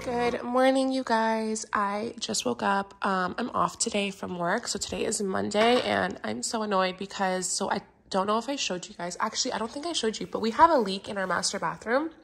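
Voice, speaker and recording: A young woman vlogger chatting casually to camera with a stuffy, congested, raspy voice, gushing and filling pauses with "like" and "yeah". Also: mid-range voice, faint music behind. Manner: bubbly, gushing, many fillers like "yeah" and "like". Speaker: lifestyle vlogger.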